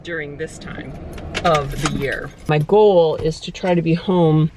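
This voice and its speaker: A woman's voice: deep voice, unhurried, noisy background. A woman from Canada in her thirties, a homeschooling mom chatting casually to the camera, rambling and laughing often. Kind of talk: casual vlog chat.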